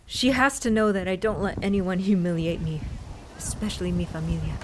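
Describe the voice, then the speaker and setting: An actress with a Mexican American accent playing a proud, vengeful young woman, intense and shifting between mutters and shouts. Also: lowish voice, fairly even intonation, brisk, noisy background, close to an everyday voice. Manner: intense, swinging from muttering to yelling. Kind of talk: audio drama acting.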